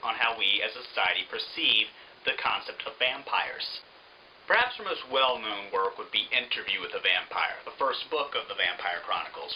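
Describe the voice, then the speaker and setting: A man's voice: medium pitch, bright, forceful tone, slight background noise, rough recording. A man giving an opinionated book review in a sarcastic, emphatic manner.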